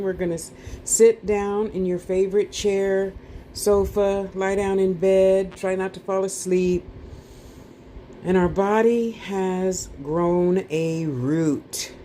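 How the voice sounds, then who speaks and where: A woman's voice: mid-range voice, warm tone, slight background noise. A woman leading a guided meditation class with soothing, informal instructions, playful asides and lulling repeated phrases.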